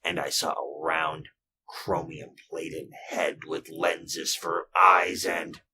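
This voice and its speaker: An actor playing a brooding, murderous robot with a human mind, narrating slowly and menacingly in a retro radio drama. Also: male voice, sits low, flat delivery, a crowd in the background. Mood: dazed, haunted. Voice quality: gravelly. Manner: deliberate, halting, menacing. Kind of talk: retro 1940s radio drama acting, first-person narration.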